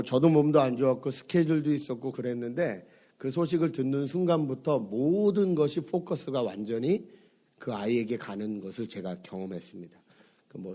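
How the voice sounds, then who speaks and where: Hoarse middle-aged pastor preaching. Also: male voice, medium pitch, mellow. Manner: conversational, many fillers, asks the congregation questions. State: hoarse from a cold. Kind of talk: sermon. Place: church sanctuary.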